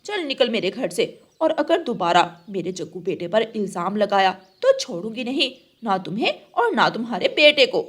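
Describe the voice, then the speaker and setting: A woman voicing a brazen, bossy, sharp-tongued mother crow in a children's cartoon. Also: mid-range voice, very animated, normal speed, few pauses. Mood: angry, threatening.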